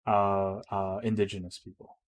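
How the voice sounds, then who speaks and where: A man co-hosting a casual chat, agreeable and quick with short affirmations. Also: fairly low pitch, little pitch movement. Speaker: podcast co-host.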